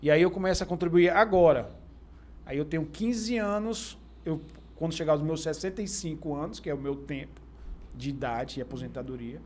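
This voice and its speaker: Higher-pitched Brazilian man hosting informally. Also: darkish tone, a little hiss or hum. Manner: halting, recaps and tests hypothetical examples. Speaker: podcast host. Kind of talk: informal conversational interviewing.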